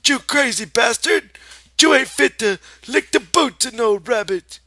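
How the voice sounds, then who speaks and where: A man voicing a giant imaginary rabbit that sneers and scolds in a high, harsh voice. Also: lively intonation, measured pace, sharp tone, no echo, studio quality, heavily acted. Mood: scornful. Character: giant scornful imaginary rabbit, male, taunting.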